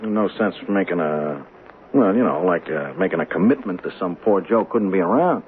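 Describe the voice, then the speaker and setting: Swooping low-voiced streetwise hustler. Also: male voice, animated, normal speed. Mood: offhand, skeptical. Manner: slangy, hesitant, offhand. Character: streetwise young male hustler, slangy, cocky, guarded loser. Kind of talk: 1970s radio drama acting. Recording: narrow, faintly noisy old radio broadcast.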